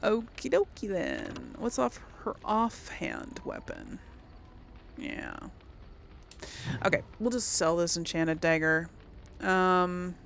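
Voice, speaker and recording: A woman's voice: fairly low pitch, vocal fry, a little hiss or hum. A woman hosting a casual let's play, rambling and thinking aloud as she comments on the game. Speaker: gaming video host.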